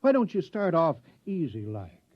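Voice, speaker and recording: A scheming town mayor who pushes his plans with a lively, rising and falling delivery. The sound is dry and dull, like an old 1950s radio broadcast. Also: fairly high pitch, no echo. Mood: urgent. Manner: bossy, hurried, persuasive. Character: scheming, bossy middle-aged male town mayor. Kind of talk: 1950s radio drama acting.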